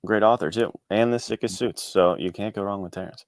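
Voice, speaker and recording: A man's voice: lowish voice, a little fast, no room sound, clean studio sound. A man talking casually as an interview guest, easygoing and a little self-deprecating. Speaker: guest, indie author and podcast co-host. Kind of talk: casual chat.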